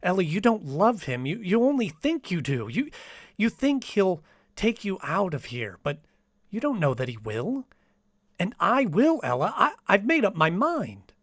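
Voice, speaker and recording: An actor playing an anxious, lovestruck poet in a radio drama, earnest and dramatic, with stammered phrases. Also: male voice, high voice, huge pitch swings, a little slow, a bit bright, no room sound, studio quality, exaggerated voice. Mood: desperate. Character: anxious lovestruck poet hiding in a department store. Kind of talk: radio drama acting with journal narration.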